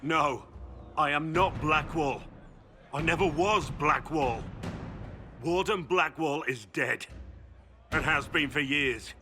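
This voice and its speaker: A man's voice: on the high side, slow, long pauses, background noise. A man playing a proud warrior in a video game, making a solemn public declaration of guilt. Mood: solemn, resolute. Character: proud, bearded male warrior publicly owning his crime.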